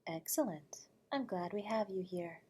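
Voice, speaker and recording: A woman playing an eerie, nocturnal horror radio host from beyond the grave, speaking to the listener in a soft, breathy, whispery voice, courteous and teasing, with frequent chuckles. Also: on the low side, expressive, a little slow. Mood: silky, teasing. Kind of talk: audio roleplay addressing the listener.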